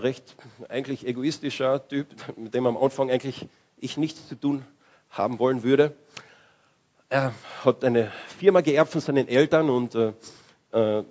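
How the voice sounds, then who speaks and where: Husky-voiced man preaching a sermon. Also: medium pitch, darkish tone, lo-fi sound. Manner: conversational, with restarts and searching for words.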